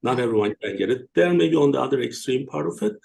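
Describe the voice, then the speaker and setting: A man, hosting an interview and asking questions in a hesitant, halting way with many fillers. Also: medium pitch, lively intonation, gravelly, a little mellow, a little hiss or hum. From Turkey. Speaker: host.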